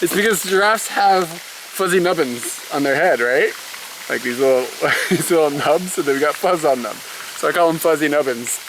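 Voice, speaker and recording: A man's voice: on the high side, noisy background. A young man chatting casually to his viewers and answering their questions, a storyteller who fills his talk with "like" and laughs often. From United States. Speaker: vlogger, elementary school teacher.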